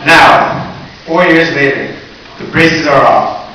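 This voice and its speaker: A man's voice: a little high, slow pace, sharp tone. Teen guy speaking in an echoey hall. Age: teens. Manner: humorous, earnest speech delivery. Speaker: valedictorian. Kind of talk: graduation speech. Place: large echoey hall or gym. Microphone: recorded from far off in the audience. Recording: distant echoey hall recording with hiss.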